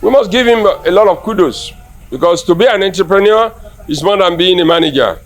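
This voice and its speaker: A man's voice: high-pitched, expressive, fairly quick. A man with a Nigerian accent giving a formal opinion in an interview. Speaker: art patron being interviewed.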